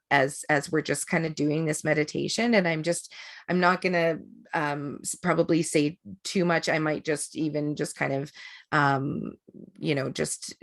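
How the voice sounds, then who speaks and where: A woman energy healer leading an online group through breathing and meditation, relaxed and chatty, with frequent fillers and restarts. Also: deep voice, creaky. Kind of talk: guided breathing and meditation instruction.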